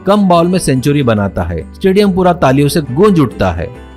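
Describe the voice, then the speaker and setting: A man's voice: fairly high pitch, big pitch swings, normal speed, a little mellow, faint music behind. A man narrating a scripted moral story as the storyteller.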